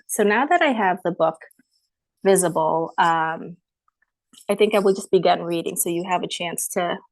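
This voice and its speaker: A woman's voice: lowish voice, dry recording, rough recording. A woman author presenting her picture book and reading it aloud on a video call, speaking politely. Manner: polite, apologetic about screen sharing. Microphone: computer mic on a video call.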